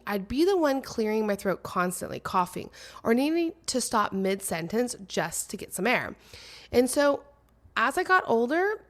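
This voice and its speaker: A woman in her thirties hosting her own podcast, speaking in an earnest, emphatic monologue with dramatic pauses; she is a little nasal and her voice is slightly crackly. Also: medium pitch. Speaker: podcast host. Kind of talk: personal solo podcast monologue.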